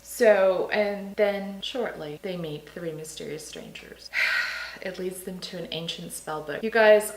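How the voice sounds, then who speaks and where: A woman's voice: mid-range voice, a bit bright. Woman, 40s, from Serbia; casual book vlogger, enthusiastic, laughs a lot; roomy echo. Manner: chatty, enthusiastic, laughs often. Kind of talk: casual book haul chat.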